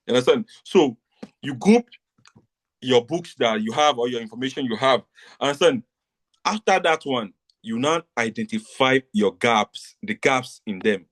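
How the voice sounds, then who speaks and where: A middle-aged man from Nigeria teaches a class in a plain, mid-pitched voice. He repeats his points and keeps asking whether his listeners follow. His delivery is a patient live lecture. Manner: repetitive, checks understanding often. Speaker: tutor.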